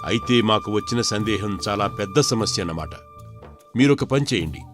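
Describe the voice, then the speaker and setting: A man voicing a dignified king who speaks formally and with measured authority in an animated folk tale. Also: on the low side, over music, lightly acted. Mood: commanding. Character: dignified king, puzzled and commanding.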